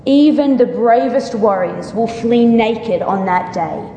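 A woman reads scripture dramatically at a slow, deliberate pace. Her voice sits fairly high and carries a solemn, declamatory weight. It rings through a hall with long reverb. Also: warm tone, slight background noise. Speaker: church member reading scripture aloud. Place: large reverberant room.

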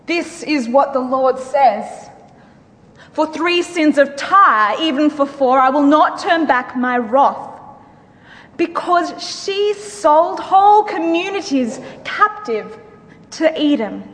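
A woman's voice: high voice, lively intonation, slow, fairly bright tone. A woman giving a solemn, declamatory dramatic reading of scripture. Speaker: reader in a church dramatic reading. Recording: live hall recording with long reverb.

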